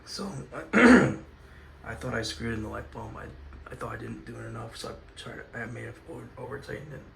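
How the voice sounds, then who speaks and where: Raspy man with a cold. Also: medium pitch, brisk, noisy background. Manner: rambling, hesitant, apologetic, often drops to a near whisper. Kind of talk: casual home tour vlog. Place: inside a house, moving from room to room.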